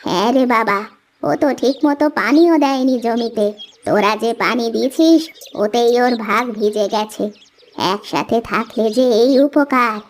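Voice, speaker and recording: A woman playing a gentle, wise village mother in a children's cartoon, soothing and admonishing. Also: on the high side, a little slow, natural voice. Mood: gently urging. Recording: faint noise with bird chirps.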